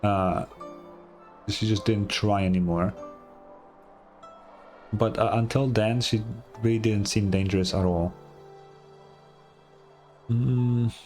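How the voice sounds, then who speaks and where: A man's voice: fairly low pitch, fairly even intonation, slow, pauses often, darkish tone. A man streaming a game, giving casual, offhand reaction commentary with blunt swearing over game music.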